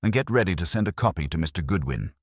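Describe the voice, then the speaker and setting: A composed woman in a detective audiobook, read by a man, in a very deep register. She is guarded, controlled and direct. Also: lively intonation, fast talker, husky, no room sound, studio quality, full character voice.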